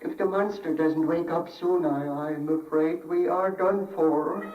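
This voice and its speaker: A man's voice: fairly high pitch, fairly even intonation, unhurried, recorded from a distance, close to an everyday voice. A man playing a frail, kindly old father with a quavering delivery, in 1930s radio drama style on a dull, echoey broadcast. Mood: despairing. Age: older.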